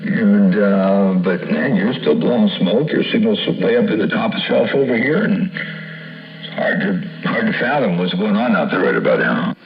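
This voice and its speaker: A man making casual CB radio chat in a folksy, halting way, full of operator slang and sign-offs. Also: fairly low pitch, big pitch swings, far from the mic, highs cut off, slight background noise. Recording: roomy, band-limited CB radio audio, loud music.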